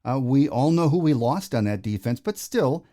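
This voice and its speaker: A man's voice: medium pitch, animated, moderate pace, dark tone. A man hosting a casual sports interview, folksy in manner, leading his guest with conversational questions. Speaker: podcast host, interviewer.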